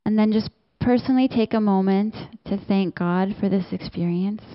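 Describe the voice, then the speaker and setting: A woman leading a church group through quiet reflection, gently inviting people to share. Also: medium pitch, normal speed, dull audio. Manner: gentle guiding tone, invites sharing. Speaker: worship leader.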